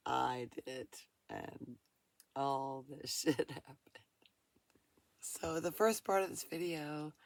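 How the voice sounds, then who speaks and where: A woman in her fifties, hoarse from a cold, chatting casually in a rambling, folksy vlog from her van. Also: low voice, dry recording. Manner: folksy, rambling, laughs often, says y'all. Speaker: van-dwelling vlogger.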